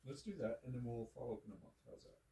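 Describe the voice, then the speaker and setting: A man as a doctor giving calm, instructive advice and checking in with the patient. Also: medium pitch, racing. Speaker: naturopathic doctor. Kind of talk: medical consultation. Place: clinic office, indoors. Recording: roomy, distant, faint noise.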